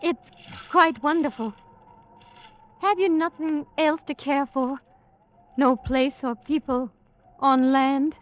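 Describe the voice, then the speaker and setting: A high female voice, slow and hesitant, as a lonely young woman in a classic radio drama. She is timid and wistful, with fear haunting her words. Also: steady tone. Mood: shaken, wistful. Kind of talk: old-time radio drama acting.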